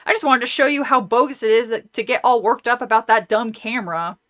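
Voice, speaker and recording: Prankster boy ballplayer, teasing and defiant, bright and highish, read by a woman. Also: fast, lightly acted. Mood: dismissive.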